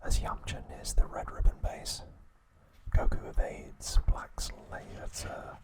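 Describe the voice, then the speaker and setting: A man narrating scripted ASMR in a breathy, unvoiced whisper, with hushed, deliberate phrasing and pauses. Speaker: ASMR host.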